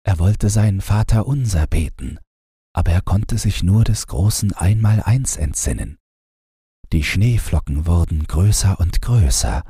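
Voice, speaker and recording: Very deep, husky male storyteller. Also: dry recording, clean studio sound. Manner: calm, measured, gentle storytelling. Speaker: audiobook narrator. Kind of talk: bedtime fairy-tale narration, read from script.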